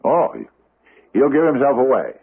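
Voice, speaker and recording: An actor playing an aging, pompous judge with hesitant, formal phrasing in an old-time radio drama. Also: male voice, mid-range voice, huge pitch swings, normal speed, vocal fry, husky, exaggerated voice. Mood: brooding, musing. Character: aging pompous judge, ponderous, secretive and grumbling. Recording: muffled, band-limited vintage radio recording.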